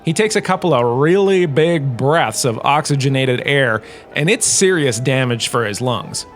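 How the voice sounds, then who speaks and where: A man playing a self-regarding, jokey professor giving a scripted comedic lecture with theatrical storytelling pauses. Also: mid-range voice, expressive, soft background music, lightly acted. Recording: clearly noisy background ambience.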